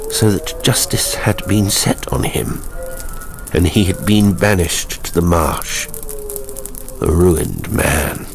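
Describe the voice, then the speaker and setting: A man narrating a horror story in a measured, dramatic manner. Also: sits low, vocal fry, noisy background.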